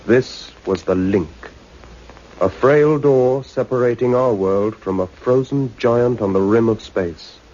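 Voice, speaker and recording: An actor playing a portentous male narrator of a sci-fi radio serial, solemn, measured and suspenseful. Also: mid-range voice, warm tone, lightly acted. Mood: ominous, solemn. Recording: muffled radio recording with music behind.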